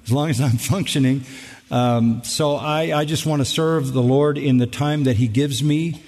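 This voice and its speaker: Older pastor in a reverberant hall. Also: male voice, mid-range voice, darkish tone. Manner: measured, reflective, with light humor. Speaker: pastor answering audience questions. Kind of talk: live Q&A answers. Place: large church auditorium. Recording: long reverb of a large hall.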